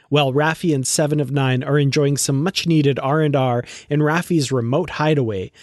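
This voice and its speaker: A man's voice: on the high side, dry recording, studio quality. A man speaking as the co-host of a podcast. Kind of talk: casual podcast chat.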